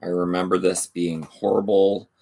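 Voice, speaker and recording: A man hosting a casual video channel, reading DVD back covers aloud and chatting about his collection. He says he is losing his voice, and his asides are low-key and offhand. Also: little pitch movement, moderate pace, gravelly, background noise. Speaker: channel host.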